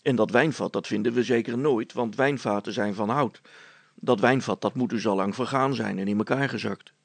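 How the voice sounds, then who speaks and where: A man's voice: lowish voice, moderate pace, creaky, slightly rough, no room sound. A man voicing a plain-spoken, worried and sceptical amateur inventor in a storybook, talking in a colloquial, grumbling way. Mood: doubtful, grumbling.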